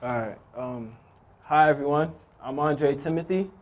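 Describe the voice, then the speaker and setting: A young man from Haiti nervously telling his own story to an audience, with many pauses and ums. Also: a little high. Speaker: youth speaker sharing his story. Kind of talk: personal testimony speech.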